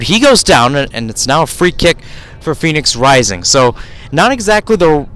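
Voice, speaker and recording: A man's voice: mid-range voice, a bit bright, rough recording. A male commentator with a mid-range voice calls a soccer match live for radio. He follows the play in brief, clipped phrases. He is speaking outdoors at the field.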